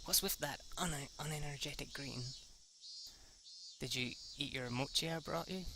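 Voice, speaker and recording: Visual novel tomboy classmate, played by a man, brash and informal, slightly raised pitch, creaky edge. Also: normal speed, noisy background, close to an everyday voice. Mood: teasing. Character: brash, casual, teasing tomboy schoolgirl classmate.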